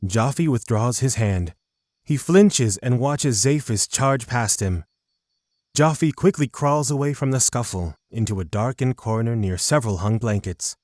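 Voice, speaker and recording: A man's voice: medium pitch, unhurried, darkish tone, no echo, studio quality. A man narrating a scripted fantasy audio drama.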